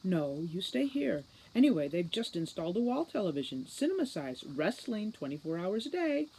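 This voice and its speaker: A female fairy police captain from a fantasy storybook, read by a woman. She is brisk and composed, coaxing people along while staying firmly in charge. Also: medium pitch, very animated, darkish tone, slight background noise, low-quality recording. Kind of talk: audiobook children's fantasy character voice.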